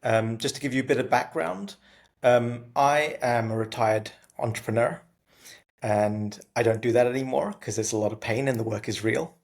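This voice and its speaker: A man in his thirties hosting an interview podcast, polite and measured, with "um" fillers. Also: medium pitch, gravelly. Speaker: podcast host.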